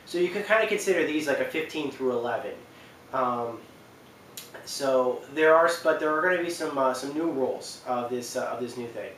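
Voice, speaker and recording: Young guy chatting to camera, kinda halting, echoey room, mic far away. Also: medium pitch, fairly bright tone. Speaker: video host presenting a ranking. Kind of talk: casual unscripted talk to camera. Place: small indoor living room on a couch. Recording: roomy, distant mic, faint noise.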